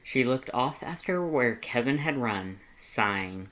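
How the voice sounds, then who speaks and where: Scripted fan fiction narrator, medium pitch. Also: lively intonation, measured pace, crowd noise.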